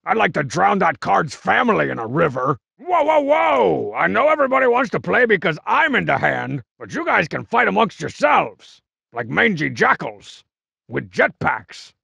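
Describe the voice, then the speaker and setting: A man voicing a cocky, scheming cartoon masked wrestler for a comedic video game, with a gravelly, strained rasp and a bragging, sarcastic, mock-cool manner. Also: high voice, wildly swooping pitch, moderate pace, sharp tone, no echo, exaggerated voice. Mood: dismissive, sarcastic.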